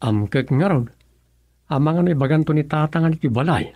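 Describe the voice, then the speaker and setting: A man's voice: on the high side, animated, fairly quick, darkish tone, no room sound. A man acting an earnest, hesitant village husband in a radio drama, coaxing, with an Ilocano accent. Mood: concerned, coaxing.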